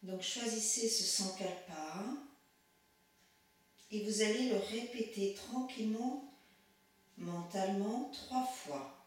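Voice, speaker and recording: Very echoey, slow-speaking woman guiding relaxation. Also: mid-range voice. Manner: calm, measured, instructing in short pauses. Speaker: yoga nidra instructor. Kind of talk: guided relaxation instruction. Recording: very echoey room, distant microphone.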